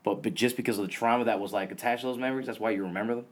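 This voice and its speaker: A man in his thirties who was born in Vietnam chats in an easygoing, slangy way. He tells stories and laughs often, in relaxed conversation. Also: lowish voice, racing. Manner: laid-back slangy storytelling, laughs often. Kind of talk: casual chat.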